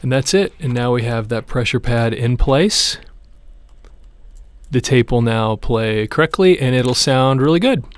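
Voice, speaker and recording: A man's voice: medium pitch, measured pace, no echo. A man presenting a hands-on repair tutorial, explaining each step in an informal, plain-spoken way. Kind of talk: casual hands-on how-to demonstration.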